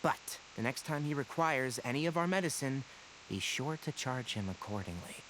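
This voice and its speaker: A man playing a brisk, dry-witted young forest ranger master in a video game, articulate, instructive and mildly exasperated. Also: medium pitch, lively intonation, fairly bright tone, close to an everyday voice, low-quality recording. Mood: dry sarcasm.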